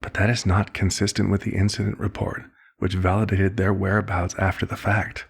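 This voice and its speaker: A man performs an elder male vampire for an ASMR roleplay. The voice is very low, with a creaky, raspy grain. He speaks in polite, formal phrases, like a concerned doctor and mentor who expects to be listened to. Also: completely flat delivery, heavily acted. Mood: measured, clinical concern. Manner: formal, measured, polite wording. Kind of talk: ASMR roleplay, scripted one-sided dialogue.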